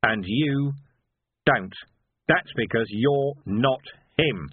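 A man's voice: medium pitch, very slow, slight background noise. A man voicing a weary, commanding veteran male sergeant who barks orders bluntly. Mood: stern. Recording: dry, tinny phone-like sound.

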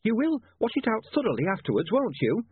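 A man's voice: huge pitch swings, a little fast, breathy edge, no echo, heavily acted. A man voicing a prim, fussy middle-aged landlady, easily affronted and flustered, in an audiobook. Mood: flustered, nervous. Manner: fussy, high-pitched exclaiming. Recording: muffled narrow-band, phone-like radio sound.

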